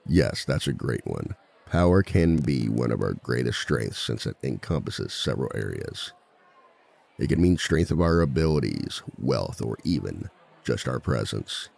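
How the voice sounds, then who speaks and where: A man playing a composed, dry-witted villain who teaches a seminar in a scripted ASMR roleplay, with a measured, polite authority and a gravelly voice. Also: big pitch swings, darkish tone, exaggerated voice. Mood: confident, instructive. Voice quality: very deep, gravelly. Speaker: seminar instructor.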